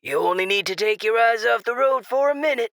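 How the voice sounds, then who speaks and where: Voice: mid-range voice, expressive, brisk, vocal fry, fairly bright tone, no echo, studio quality. An actor playing a mocking, sinister radio host in an audio drama, with falsely casual, teasing patter. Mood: ominously matter-of-fact.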